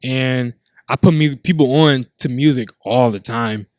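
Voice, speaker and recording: A man's voice: medium pitch, unhurried, no room sound, clean studio sound. A man guest who DJs, talking casually on a podcast in an emphatic, profane, repetitive way.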